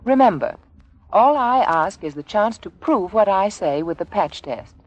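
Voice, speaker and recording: A woman's voice: medium pitch, animated, a little slow, highs cut off. A woman reading a scripted 1940s radio advertisement in an emphatic, persuasive manner. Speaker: sponsor's advertising announcer.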